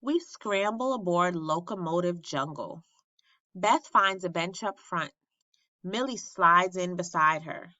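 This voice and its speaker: A woman with a bright, forward voice reads a storybook aloud at an unhurried pace. She stresses key phrases and leaves dramatic pauses. The recording is slightly dull and lo-fi. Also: lowish voice, expressive, no echo. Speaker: storybook reader at an elementary school. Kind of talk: expressive read-aloud of a children's story.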